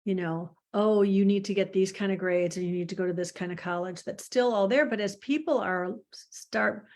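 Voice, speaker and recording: A woman hosting a podcast on a video call, speaking reflectively and trailing off softly. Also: mid-range voice, moderate pace. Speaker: host. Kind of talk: casual podcast conversation.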